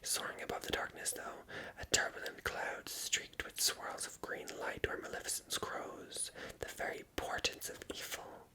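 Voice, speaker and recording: ASMR storyteller: unvoiced breathy whisper, very bright sibilant edge, gentle hushed read. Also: moderate pace. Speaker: ASMR video host reading aloud.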